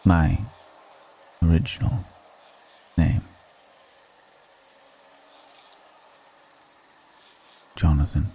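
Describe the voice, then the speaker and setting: A man playing an ancient, refined, wistful male vampire in an intimate ASMR roleplay, speaking slowly and formally with measured pauses. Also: deep voice, expressive, mellow, breathy edge, exaggerated voice, poor audio. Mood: wistful, solemn. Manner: slow, measured, pausing between phrases, formal wording. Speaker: voice actor in an audio roleplay.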